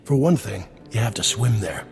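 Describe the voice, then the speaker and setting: An actor playing a fat, gruff, worried underworld boss with a wry, blunt manner in a video game. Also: male voice, fairly low pitch, expressive, normal speed, faint music behind. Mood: businesslike, wry. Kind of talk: video game character dialogue.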